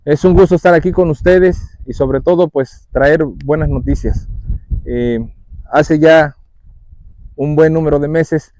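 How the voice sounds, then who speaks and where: A man's voice: fairly high pitch, fairly even intonation, unhurried, darkish tone, poor audio. A man in his thirties with a Mexican accent, giving a public speech outdoors as a municipal president, with many filler words. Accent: Mexican Spanish. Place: outdoors at a rural dirt road. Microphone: lapel mic.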